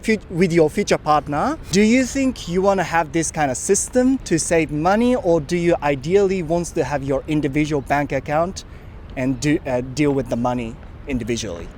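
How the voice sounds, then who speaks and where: A man's voice: a little high, animated, normal speed, a little mellow, slight background noise. A man with a Japanese accent interviewing people on a city street in a chatty, friendly way, laughing often. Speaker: street interviewer, channel host.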